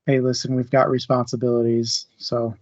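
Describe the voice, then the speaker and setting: A man's voice: medium pitch, monotone, moderate pace, darkish tone, dry recording. A man co-hosting a casual book discussion, talking through his thoughts in a conversational way. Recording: dry, muffled online audio.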